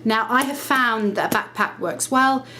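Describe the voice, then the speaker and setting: A woman's voice: a little high, bright, forceful tone, slight background noise. A woman vlogging to camera, chatty and explaining things step by step, sounding slightly nasal from a cold. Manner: chatty, self-correcting, brisk explaining. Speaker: lifestyle vlogger. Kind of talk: casual talk to camera.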